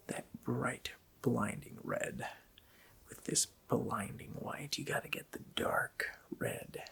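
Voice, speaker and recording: A man voicing a gloating, child-eating Christmas demon in a gravelly, breathy whisper, drawling casually and menacingly in an ASMR roleplay. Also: fairly low pitch, sharp tone, exaggerated voice. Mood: mocking.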